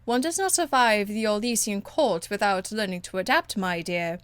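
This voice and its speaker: A woman's voice: mid-range voice, normal speed, a bit bright, clear, resonant tone, no room sound, lightly acted. A woman voicing a proud, elegant, condescending court enchantress in a video game, with polished, haughty phrasing. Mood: silky, teasing. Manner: haughty, polished, drawling endearments. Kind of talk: video game dialogue acting.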